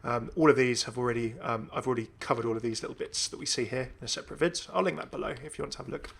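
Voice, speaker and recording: Male gaming video host, enthusiastic and casual, medium pitch with noticeable vocal fry. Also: rapid-fire, a bit bright. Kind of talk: casual commentary on a game update.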